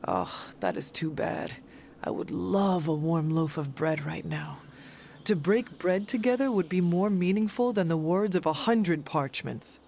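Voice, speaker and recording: A performer voicing a calm, earnest resurrected warrior in a video game, with formal, measured, old-fashioned speech. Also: medium pitch, gravelly, slight background noise. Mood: gentle, wry. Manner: formal, measured, avoids contractions.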